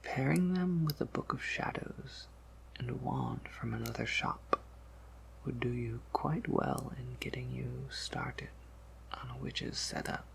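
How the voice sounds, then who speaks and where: Male performer as courteous vampire apothecary keeper, ASMR roleplay: unhurried, heavily creaky whisper, gentle, formal, quietly amused. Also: mid-range voice, wildly swooping pitch, heavily acted, poor audio. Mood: politely coaxing. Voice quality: breathy whisper with gravelly creak.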